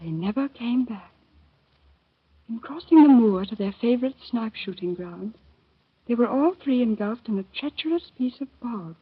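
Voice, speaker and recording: An actress playing a calm, sly teenage girl telling a grave tale, in 1930s radio acting style. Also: fairly high pitch, moderate pace, breathy edge. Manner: composed, soft, grave. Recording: thin phone-like old radio recording.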